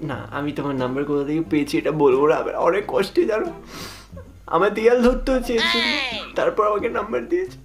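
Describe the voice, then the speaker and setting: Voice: medium pitch, fast talker, close to an everyday voice. A performer voicing a clingy, flirtatious adoring fan on a prank phone call, coy and pleading and repeating phrases insistently. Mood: gushing. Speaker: prank caller. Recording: music behind the call.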